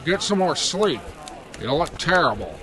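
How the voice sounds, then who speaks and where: A demanding police commissioner from an old-style radio drama. He speaks slowly in a somewhat high voice. His manner is stern and authoritative. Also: big pitch swings, a bit bright, low-quality recording.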